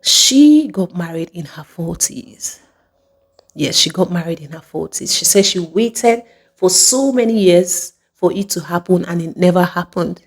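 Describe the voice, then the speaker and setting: A woman's voice: fairly low pitch, lively intonation, a little slow, breathy edge. A woman hosting a motivational monologue to viewers, speaking emphatically and repeating phrases for effect. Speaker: video host.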